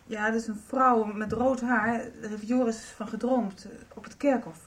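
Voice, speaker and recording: An actress playing a hesitant, earnest young woman in a radio soap, speaking haltingly. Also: fairly high pitch, fairly even intonation, far from the mic, natural voice. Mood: hesitant, worried. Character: hesitant, earnest young girl pleading for answers.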